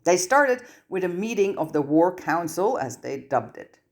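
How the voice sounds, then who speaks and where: A woman reads a scripted story aloud as its narrator. Her voice sits low for a woman. The delivery is that of an audiobook. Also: very animated, normal speed.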